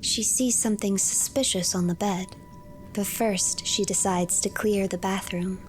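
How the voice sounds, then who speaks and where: A woman streamer narrating a video game as a story, reading in a measured, formal storyteller delivery. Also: mid-range voice, fairly even intonation, over music. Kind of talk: scripted story narration, third person. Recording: clear background noise, game ambience.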